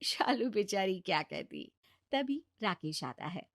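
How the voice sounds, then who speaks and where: A woman's voice: medium pitch, sing-song, measured pace. A woman narrating a scripted children's story as its storyteller.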